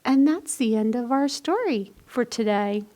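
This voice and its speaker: A woman's voice: a little high, lively intonation, a little slow, mellow. A woman presenting a library storytime, casually explaining a children's craft in simple steps.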